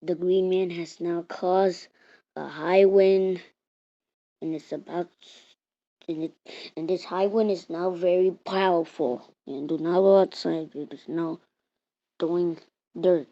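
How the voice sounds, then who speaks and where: A woman reading out an improvised mock emergency alert in a halting way, repeating and restarting her words. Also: lowish voice, steady tone. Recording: muffled, roomy home recording.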